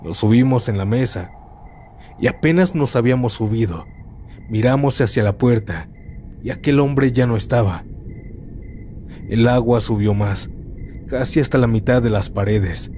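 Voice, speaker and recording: A man reading first-person horror stories aloud as a scripted narration, in a calm, steady storytelling manner. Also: medium pitch, moderate pace, long pauses, a little hiss or hum.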